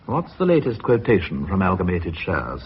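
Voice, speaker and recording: A commanding company chairman in a radio play. The voice is low and moves freely up and down, formal with a sardonic edge. The recording is muffled. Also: wildly swooping pitch, a little fast, husky, heavily acted. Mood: coolly commanding. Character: authoritative, wry company chairman, knighted businessman.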